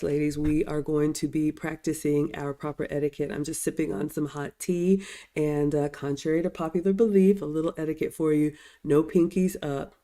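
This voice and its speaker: A middle-aged woman with a slightly raspy voice, chatting casually on a livestream as she reads out viewer comments, still getting over a cold. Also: sits low, dark tone. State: recovering from a cold. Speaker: livestream host and etiquette teacher.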